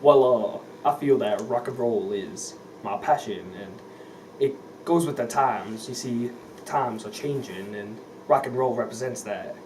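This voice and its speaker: A man's voice: medium pitch, unhurried, lightly acted. A man playing a young, unbothered 1950s rock and roll star in an amateur sketch interview, answering hesitantly. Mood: easygoing. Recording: noisy, distant microphone.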